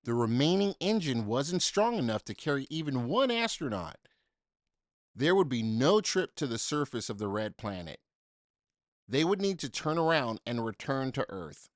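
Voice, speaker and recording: A man reading a children's story aloud in an expressive, dramatic way, with deliberate emphasis for young listeners. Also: medium pitch, no room sound, studio quality. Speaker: storybook reader.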